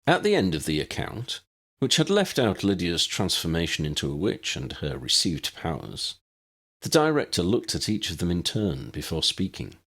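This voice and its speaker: A man's voice: deep voice, huge pitch swings, moderate pace, fairly bright tone, husky. A man reading a scripted story aloud as an audiobook narrator.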